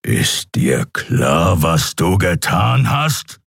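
A man's voice: low voice, sing-song, very slow, vocal fry, fairly bright tone, slightly rough, no echo, clean studio sound, exaggerated voice. A man voicing a huge, furious clawed beast-man in an audiobook, growling and roaring threats. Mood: menacing. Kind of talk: dramatic audiobook character voice.

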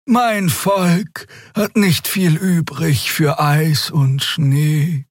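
A man voicing a tattooed alien woman in a robe, speaking slowly in a high, drawn-out voice. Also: fairly even intonation, dry recording, clean studio sound. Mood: dry complaint. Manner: slow, drawn-out, high delivery. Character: tall tattooed female alien, slow and chilly.

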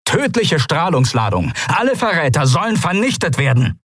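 A man's voice: on the high side, big pitch swings, moderate pace, a bit bright, slightly rough, dry recording, studio quality, pitched above the performer's own voice, heavily acted. A man voicing a proud, stern male admiral giving clipped orders. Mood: commanding. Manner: clipped, commanding.

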